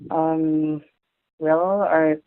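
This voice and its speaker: A young Korean man speaking English with a Korean accent as an interviewee, halting and searching for words, often restarting. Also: on the high side, level tone, clear, resonant tone, dry recording. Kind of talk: casual conversation in English. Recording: tinny phone-like audio.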